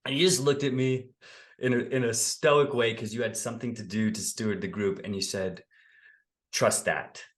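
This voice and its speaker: A man's voice: mid-range voice, fairly even intonation, normal speed. A man in his thirties hosting a podcast interview over a video call, affirming and enthusiastic, speaking into a desk mic in his home office. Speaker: podcast host. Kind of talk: warm interview conversation. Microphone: desk condenser mic, video call.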